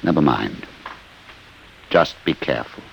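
An actor playing a grave, driven, weary older inventor in an old radio drama, terse and brooding. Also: male voice, very deep voice, lively intonation, unhurried, vocal fry, full character voice. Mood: grave, guarded. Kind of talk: 1950s radio drama acting. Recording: noisy old radio recording.

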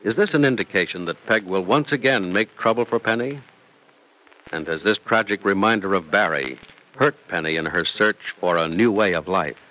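A man's voice: low-pitched, animated, moderate pace, sharp tone, poor audio. A man announcing an old-time radio serial and its sponsor in a polished, emphatic, dramatic style.

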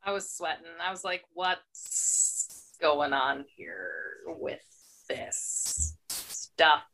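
A woman's voice: deep voice, extremely slow, creaky, pushed and bright, rough recording. A young woman co-hosting a casual book podcast, chatty and sarcastic, mimicking characters as she talks. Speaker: podcast co-host. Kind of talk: casual chat about books.

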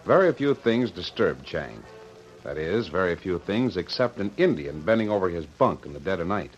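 Deep-voiced American old-time radio narrator, male. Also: very animated, normal speed. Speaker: announcer narrator. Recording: dull lo-fi vintage radio recording. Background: music.

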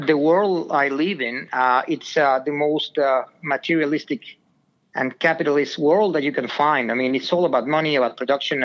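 Middle-aged man, from Venezuela, call-in guest, halting, filler words, muffled lo-fi line. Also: a little high, fairly bright tone. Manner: hesitant, with many "uh" and "um" fillers. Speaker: podcast guest on a phone line. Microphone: phone line.

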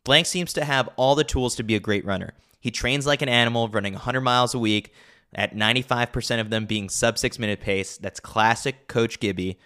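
A man's voice: mid-range voice, fairly quick, sharp tone, clear, resonant tone. A man narrating a scripted sports newsletter as a podcast host, with a wry, opinionated way of talking.

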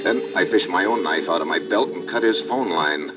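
Actor as a low-voiced, hard-boiled radio adventure diver. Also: male voice, moderate pace, natural voice, low-quality recording. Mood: tense narration. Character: tough, wry undercover diver narrating his peril. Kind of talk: old-time radio adventure narration. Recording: vintage radio recording with music.

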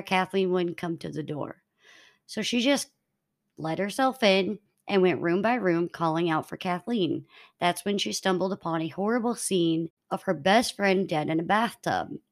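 A woman co-hosting a podcast, reading out a true-crime case from her notes to her co-hosts. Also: on the low side. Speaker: podcast co-host.

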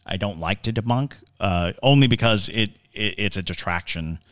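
A man co-hosting a podcast, explaining his views casually in a measured, reflective way. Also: lowish voice, a bit bright, dry recording, studio quality. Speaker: podcast co-host.

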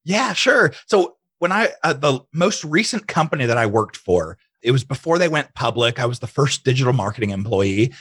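A man's voice: medium pitch, sing-song, moderate pace, hardly any pauses, fairly bright tone, breathy edge, dry recording. A man speaking as an interview guest, chatting casually and telling personal stories, often quoting his own thoughts. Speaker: interview guest, digital marketing entrepreneur.

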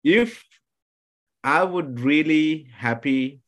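Halting, emphatic man from Sri Lanka. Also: a little high, fairly bright tone. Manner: halting, many restarts, emphatic bursts. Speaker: podcast guest, former contestant. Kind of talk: casual chat.

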